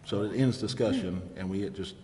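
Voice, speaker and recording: A man's voice: fairly low pitch, normal speed, warm tone. A man speaking as a mayor running a council meeting, discussing matters with the other members. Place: indoor council chamber. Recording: reverberant meeting chamber with background noise.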